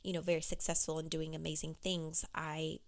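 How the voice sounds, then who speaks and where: A woman business coach talking candidly on her solo podcast, with a hoarse, froggy voice. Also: deep voice, monotone, a little fast. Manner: candid, conversational, with fillers.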